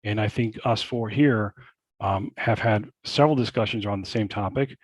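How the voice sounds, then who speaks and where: A man's voice: lowish voice, normal speed, dry recording, rough recording. A man answering as a school board candidate in a panel Q&A, earnest and full of fillers and repeated phrases.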